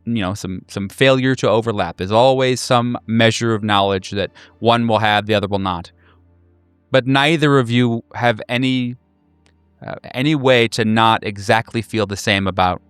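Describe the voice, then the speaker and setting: A man improvising narration as a game master, in a halting, reflective and deliberate way. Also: fairly low pitch, fairly bright tone, clear, resonant tone, no room sound. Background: faint music.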